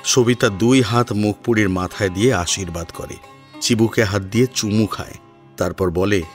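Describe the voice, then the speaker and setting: A man reading scripted story narration in an expressive, dramatic storytelling style. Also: lowish voice, normal speed. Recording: soft music under narration. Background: sitar music.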